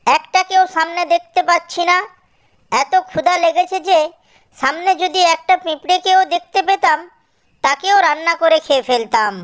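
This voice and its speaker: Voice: extremely high pitch, normal speed, fairly bright tone, pitched above the performer's own voice. A performer voicing a hungry, foolish cartoon fox who boasts and makes threats. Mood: hungry, grumbling. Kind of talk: children's cartoon character voice.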